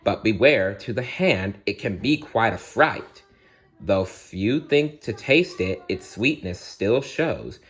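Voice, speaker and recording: A man voicing a kindly, motherly female fairy, reciting riddles deliberately in a bedtime story. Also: fairly low pitch, lively intonation, fairly bright tone. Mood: reciting, mysterious.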